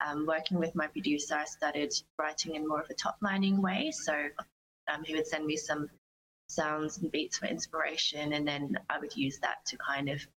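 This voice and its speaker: A woman's voice: deep voice, a bit bright, poor audio. A young woman answering interview questions as a singer-songwriter, speaking conversationally in a reflective, earnest way. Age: young adult.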